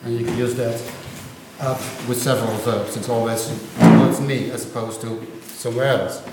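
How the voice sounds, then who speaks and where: A man's voice: mid-range voice, fairly even intonation, moderate pace, very noisy. A man whose first language is Dutch, giving a university linguistics lecture and often checking understanding with "right?". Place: lecture room. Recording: echoey lecture room.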